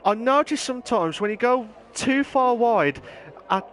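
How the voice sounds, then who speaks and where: A man commentating a live sim racing league, rambling and excitable, voice wearing hoarse from shouting. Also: extremely high pitch, unhurried, slight background noise. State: voice going hoarse. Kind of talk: live esports race commentary.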